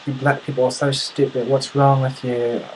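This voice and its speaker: A man voicing a pushy, rude British tourist with an attempted British accent, insistent but halting. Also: medium pitch, flat delivery, a little mellow, natural voice, poor audio. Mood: angry, insulting. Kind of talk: acted quote in an anecdote. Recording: clearly audible background noise.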